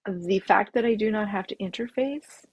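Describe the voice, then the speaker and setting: Gravelly, husky woman, French native speaker. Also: medium pitch, no echo, muffled recording. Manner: frank, halting with frequent ums. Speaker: pattern designer guest. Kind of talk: casual interview chat.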